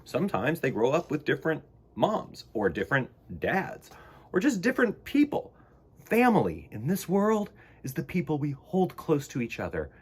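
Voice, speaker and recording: A man hosting a storytime for children, speaking to young viewers in an expressive, theatrical and earnest way. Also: mid-range voice, slightly rough. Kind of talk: expressive read-aloud and talk to young viewers.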